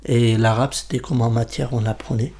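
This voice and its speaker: A young man from Iraqi Kurdistan whose first language is Kurmanji, telling his story in a halting way, with many restarts. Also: mid-range voice, steady tone, darkish tone. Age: young adult. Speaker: interviewee. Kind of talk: personal testimony.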